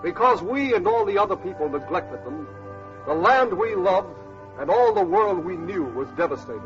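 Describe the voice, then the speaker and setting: An actor playing an earnest, idealistic male community leader in a radio drama, speaking deliberately and anxious for the future. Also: high voice, animated. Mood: preaching, solemn. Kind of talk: 1950s radio drama acting. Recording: muffled old radio recording, music behind.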